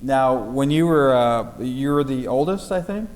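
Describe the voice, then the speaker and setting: A man's voice: mid-range voice, lively intonation, measured pace, darkish tone, in a large hall. A man conducting an oral history interview, asking friendly questions with frequent fillers. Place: library room.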